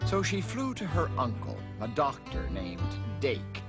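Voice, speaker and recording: Guy reading a kids rhyming storybook, slow and super expressive, music behind. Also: medium pitch. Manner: theatrical, dramatic emphasis. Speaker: storybook narrator. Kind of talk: expressive children's read-along narration of rhyming verse.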